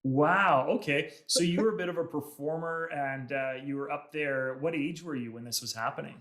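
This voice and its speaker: A man hosting a podcast, enthusiastic and friendly, pausing often with "uh" as he introduces and questions his guest. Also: fairly high pitch, fairly bright tone, echoey room. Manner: friendly, many "uh" pauses, enthusiastic. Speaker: podcast host. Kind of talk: casual interview chat.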